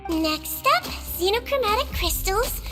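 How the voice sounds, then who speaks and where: A woman voicing a small, kindly girlish head nurse in a video game, gentle, reassuring and instructive. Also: very high-pitched, expressive, unhurried. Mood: cheerful, instructive. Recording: music under the voice.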